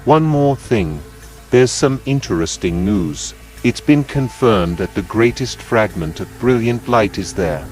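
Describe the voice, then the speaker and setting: Guy doing a lowish calm scheming villain for anime, measured, knowing, faint music under it. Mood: calm, calculating. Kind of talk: dramatic anime-style dub acting.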